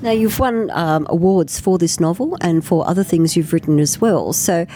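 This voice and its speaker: Woman, interviewer, very low voice, mellow tone, conversational questions, faint festival background noise. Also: normal speed. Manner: conversational, with some fillers.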